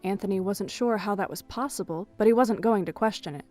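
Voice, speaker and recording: A woman's voice: medium pitch, brisk, darkish tone. A woman reading scripted third-person narration for an audio drama as its storyteller. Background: music.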